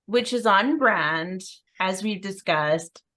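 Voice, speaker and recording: A woman co-hosting a podcast, chatting casually in a lively, friendly way. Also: mid-range voice, takes it slowly. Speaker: co-host. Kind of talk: casual chat.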